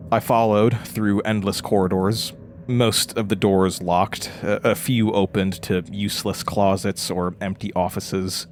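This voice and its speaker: A man playing a hesitant, haunted investigator in an intimate horror audio drama, with a low-key, earnest delivery. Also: slight background noise. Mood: grim, haunted. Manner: halting, stammering in dialogue, low-key and earnest.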